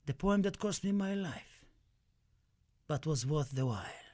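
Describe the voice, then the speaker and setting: High-voiced, impassioned Spanish poet, acted by a man. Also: expressive, a little slow, darkish tone. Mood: solemn, mournful. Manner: impassioned, declamatory, dramatic. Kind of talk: theatrical radio-play monologue and poetry recital. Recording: music under the voice. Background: music.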